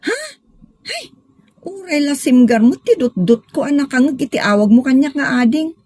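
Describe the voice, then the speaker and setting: A woman acting an eager, outspoken young village woman in a radio drama, expressive and bantering, with a Filipino accent. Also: high-pitched, normal speed, no echo. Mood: teasing.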